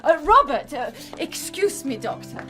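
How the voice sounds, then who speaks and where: A woman's voice: high voice, very animated, unhurried, over music. An actress in a period radio drama playing an anxious, kindly eighteenth-century farmer's wife, polite and earnest in her speech. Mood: relieved, then puzzled.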